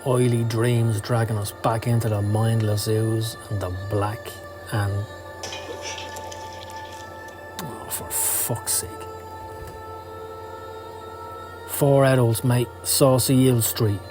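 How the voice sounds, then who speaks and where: A man's voice: medium pitch, steady tone, a little slow, natural voice. A man voicing a down-at-heel male occult hustler in a motion comic, slangy, profane and sardonic. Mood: frantic, angry. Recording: music under the voice.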